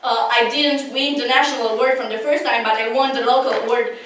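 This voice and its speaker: A woman's voice: on the high side, steady tone, a little fast, fairly bright tone, slight background noise. A young woman whose first language is not English, giving an earnest competitive debate speech with frequent restarts. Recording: echoey hall from far off.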